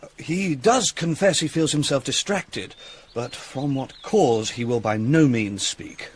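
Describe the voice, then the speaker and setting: An actor with a British accent playing a deferential, obliging young courtier in a Shakespearean drama, courteous and a little hesitant. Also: male voice, medium pitch, expressive, a little slow, a little hiss or hum, close to an everyday voice. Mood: eager, deferential. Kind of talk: theatrical Shakespearean radio drama.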